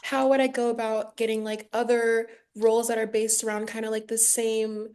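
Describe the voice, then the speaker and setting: A sixteen-year-old American girl, a young actor, casually and haltingly asking a coach a question. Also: on the high side, level tone, darkish tone, smooth. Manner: hesitant, youthful, halting.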